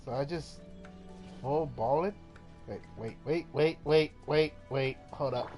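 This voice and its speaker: A man casually commenting as he plays a video game, playful and rambling, with quick outbursts. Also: on the high side, a little mellow, soft background music. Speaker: gaming streamer.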